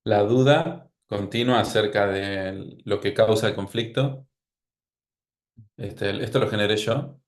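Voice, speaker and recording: A man's voice: on the low side, takes it slowly. A man with a Rioplatense Spanish accent, speaking as a psychologist guest in a podcast interview, with a wry, anecdotal way of talking.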